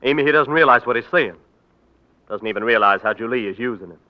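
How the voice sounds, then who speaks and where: A haughty, hot-headed young Southern gentleman, played by a man in 1940s radio drama style. His pitch is middling. The recording is very tinny and narrow. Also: fast talker, lightly acted. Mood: cold anger.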